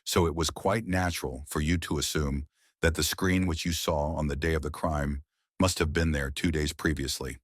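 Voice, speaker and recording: A man voicing a shrewd, confident male detective who questions in a sharp, probing, sarcastic way, in an audiobook. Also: rumbling low, steady tone, moderate pace, breathy edge, no room sound, clean studio sound. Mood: probing, accusing. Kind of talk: audiobook character voice.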